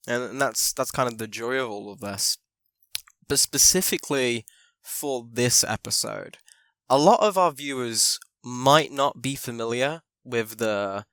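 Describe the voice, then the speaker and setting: A man's voice: mid-range voice, pushed and bright, no echo, clean studio sound. A man hosting a podcast and interviewing a guest in a chatty, casual way. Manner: chatty, with fillers and restarts. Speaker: podcast host.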